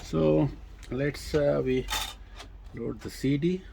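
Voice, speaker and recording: A man giving a casual, hands-on product demonstration, explaining things informally in a halting, hesitant way. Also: on the high side, vocal fry, background noise. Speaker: presenter of a vintage audio gear demo.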